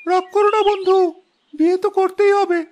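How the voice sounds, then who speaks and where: A performer voicing a prince's loyal, cautious, caring companion in an animated fairy tale, with a thin voice. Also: very high-pitched, a little fast, slight background noise. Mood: coaxing.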